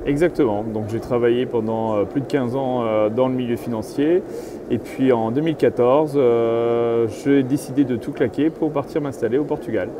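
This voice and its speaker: Middle-aged man interviewed over clear background noise. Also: medium pitch, moderate pace. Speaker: real estate agent and consultant being interviewed. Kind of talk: spontaneous interview answers.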